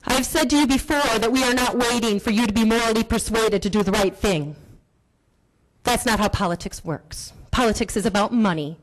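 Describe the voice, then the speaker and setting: A woman speaking at a county board meeting, delivering an impassioned public comment in forceful, accusatory, repetitive rhetoric. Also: a little high, brisk, rough recording.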